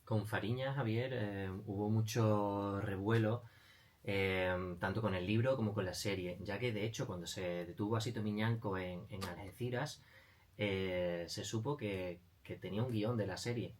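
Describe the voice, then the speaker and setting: A man from co-hosts an interview. He asks his questions conversationally in a fairly low voice. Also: moderate pace. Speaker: interview host.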